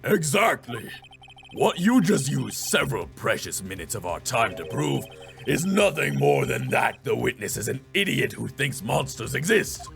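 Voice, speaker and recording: A man's voice: medium pitch, sing-song, normal speed, vocal fry, fairly bright tone, faint music behind, exaggerated voice. A man voicing an arrogant, condescending prosecutor in a video game, with a clipped, sneering delivery.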